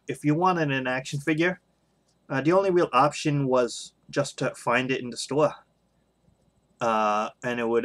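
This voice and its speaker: A middle-aged man talking unscripted as a video blogger, sharing his opinions in a halting, hesitant way with frequent pauses. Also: mid-range voice, fairly bright tone. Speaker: video blogger on toy collecting.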